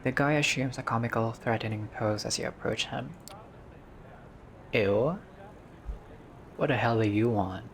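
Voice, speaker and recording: A man doing a casual game playthrough, reading dialogue aloud and reacting with expressive, slangy asides. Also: mid-range voice, a little slow, pauses often, slight background noise. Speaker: gaming video player reading dialogue aloud.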